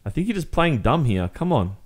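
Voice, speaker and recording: A man with an Australian accent playing a jealous, fed-up young boyfriend confronting his girlfriend in an intimate ASMR roleplay, speaking in a halting, conversational way. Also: medium pitch, very animated. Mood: uneasy, reproachful.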